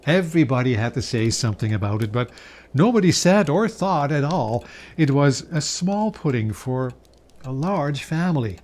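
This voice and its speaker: A man giving a dramatic storybook reading of a Victorian Christmas tale, savoring the words with expressive pauses. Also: medium pitch. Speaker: storyteller reading a classic novel aloud.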